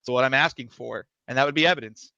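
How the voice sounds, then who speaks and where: A man with a soft, higher voice who argues in an animated, defensive way, stumbling into restarts. He talks quickly with a bright, tense edge. He comes through a dry, slightly muffled call line. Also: creaky, no echo. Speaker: guest. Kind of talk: heated casual debate on a livestream.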